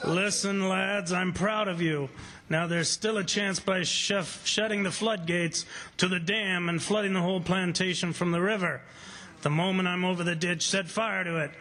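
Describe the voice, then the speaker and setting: An actor playing a bold, stubborn plantation owner, commanding and resolute, in old-time radio adventure style. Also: male voice, sits high, fairly even intonation, moderate pace, pushed and bright, rough recording. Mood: rallying, confident. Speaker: lead actor in radio drama.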